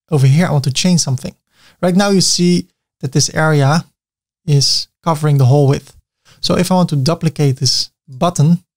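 A man with a Dutch accent giving a chatty screen-recorded web design tutorial, with self-mocking asides. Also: on the high side.

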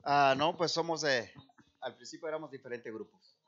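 A man guesting on a music show as a band member, chatting casually and joking in a relaxed way. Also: a little high, moderate pace, dull audio. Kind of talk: casual chat. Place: TV studio set, indoors.

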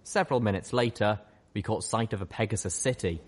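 A man's voice: fairly low pitch, a little fast, husky. A man reading a dramatic scripted story as an audiobook narrator. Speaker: audiobook reader.

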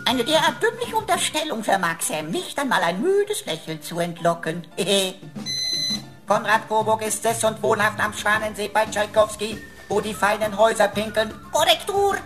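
A woman's voice: mid-range voice, huge pitch swings, moderate pace, a bit bright, faint music behind. A woman playing a cheeky, chattering computer sidekick in a radio play, mock-servile with pompous wordplay. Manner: pompous mock-formal phrasing, wordplay, sing-song flourishes.

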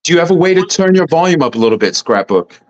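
A loud-voiced man hosting a casual panel discussion over a video call. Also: big pitch swings, quick pace, lo-fi sound. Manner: loud, booming voice. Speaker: host. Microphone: computer mic on a video call.

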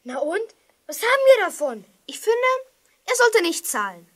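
A woman playing an eager, cheeky young boy in a children's radio play, excitable and outspoken. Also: very high-pitched, moderate pace.

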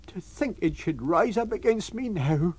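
A man's voice: high voice, expressive, a little slow, a little mellow, slightly rough, pitched above the performer's own voice, heavily acted. A man reading a story and voicing a middle-aged country landlady who scolds indignantly in rustic dialect. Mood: frightened.

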